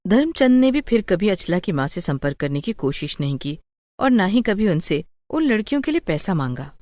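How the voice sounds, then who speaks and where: A woman's voice: mid-range voice, sing-song, normal speed, darkish tone, dry recording. A woman narrating a scripted story as a storyteller.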